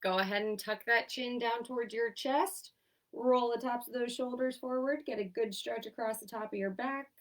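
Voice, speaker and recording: A woman's voice: on the high side, fairly even intonation, moderate pace, far from the mic. A young woman occupational therapist with a congested, stuffy voice, cheerfully leading a seated exercise class and counting down each hold. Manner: upbeat, encouraging, counts down holds. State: congested, stuffy from sinus trouble. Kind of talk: instructing an online exercise class.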